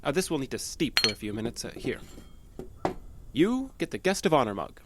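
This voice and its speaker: An actor in an audio drama playing an obsessive, unstable male patient with a halting, nervous, insistent manner. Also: mid-range voice, very animated, background noise, lightly acted. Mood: awkward, hospitable. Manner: halting, nervous, insistent, stammering under pressure.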